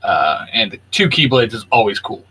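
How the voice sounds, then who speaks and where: A man's voice: lowish voice, very animated, moderate pace, sharp tone, husky, rough recording. A man co-hosting a casual gaming chat, giving opinions in an informal way. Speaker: co-host.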